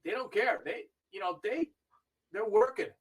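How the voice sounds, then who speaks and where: An older man who grew up in Argentina, telling stories in a chatty, animated way as a podcast interview guest. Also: extremely high pitch, moderate pace, creaky, husky, far from the mic. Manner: chatty storytelling, animated when recalling matches. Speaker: interview guest, video review manager.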